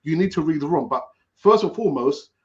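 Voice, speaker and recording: A man's voice: on the high side, lively intonation, brisk. A man giving advice in a casual panel chat, repeating key words for emphasis. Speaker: panel speaker. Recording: dull, muffled sound.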